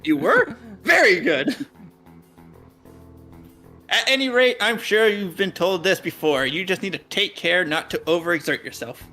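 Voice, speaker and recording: A man's voice: high voice, expressive, unhurried, bright, forceful tone, soft background music, full character voice. A man voicing a smiling male doctor in a visual novel, formal and reassuring as he gives advice. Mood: cheery, brisk.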